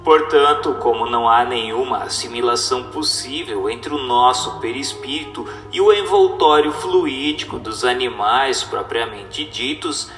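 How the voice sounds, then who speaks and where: A man giving a scripted, solemn reading in a formal, didactic and deliberate manner, as a narrator. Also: mid-range voice, sharp tone. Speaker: narrator reading a spiritist text. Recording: echoey reverberant voice with music. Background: music.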